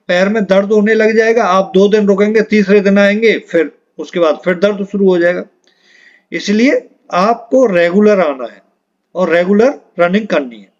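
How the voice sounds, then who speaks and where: A man coaching students in an informal, instructive way, repeating key points and often adding short check-in tags. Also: sits high. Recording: dull lo-fi audio.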